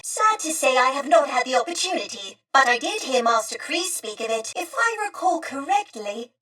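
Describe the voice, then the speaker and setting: Video game droid: high-pitched, genteel and talkative, centuries-old lady with theatrical, polite, wistful delivery. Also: a bit bright, distant mic. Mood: cheerful. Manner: prim, courteous, wistful, theatrical phrasing. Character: genteel, chatty female protocol droid, two centuries old. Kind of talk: scripted video game character acting.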